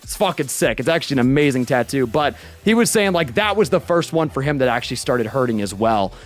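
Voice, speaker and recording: A twenty-year-old man chatting casually on a livestream, animated and swearing, close to a boom-arm studio mic in a small bedroom. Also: fast, soft background music. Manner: animated, swearing, talks with his hands. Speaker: streamer. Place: small bedroom streaming room with purple lights. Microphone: studio mic on boom arm, headphones.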